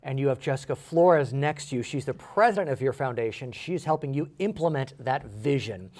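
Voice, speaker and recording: A man's voice: mid-range voice, expressive, brisk, a little mellow. American man hosting a TV interview. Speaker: television interview host. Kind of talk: broadcast interview, scripted introductions and questions.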